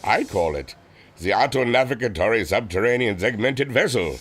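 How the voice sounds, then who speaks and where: An actor playing an earnest, proud inventor with a formal, theatrical manner in a pulp audio drama. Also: male voice, on the low side, animated, normal speed, a bit bright. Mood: proud, excited.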